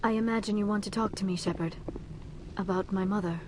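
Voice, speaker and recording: A woman playing a gentle, earnest alien crew member in a video game, soft-spoken and hesitant. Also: medium pitch, steady tone, brisk, slight background noise, lightly acted, low-quality recording. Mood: shaken, uneasy. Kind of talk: video game cutscene acting.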